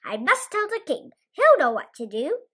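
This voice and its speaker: Young reader putting on a shrill, panicky little chick. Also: female voice, very animated, normal speed, a bit bright, heavily acted. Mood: urgent. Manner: squawking, pleading.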